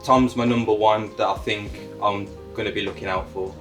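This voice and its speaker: A man's voice: on the low side, fairly even intonation, moderate pace, a bit bright. A man from Birmingham giving brief, matter-of-fact interview answers. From Birmingham, England. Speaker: angler competitor. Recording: distant mic, faint music behind.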